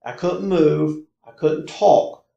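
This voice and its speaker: An American man talks casually, as a guest telling a personal story. His voice sits a little high and is thick with gravelly fry. He moves in halting, emphatic bursts, repeating himself, with the room echoing around him. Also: fairly even intonation, warm tone, highs cut off. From North Carolina, United States. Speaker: podcast guest recounting personal paranormal experiences. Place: indoor room, in-person conversation. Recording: roomy, echoey indoor recording.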